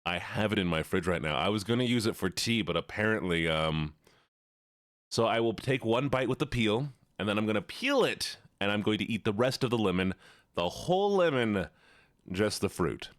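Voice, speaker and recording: A man hosting a livestream in casual chat, animated, his voice rising when excited and dropping low as he relaxes. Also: normal speed, dry recording, clean studio sound. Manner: animated, voice rises and drops. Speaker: livestream host.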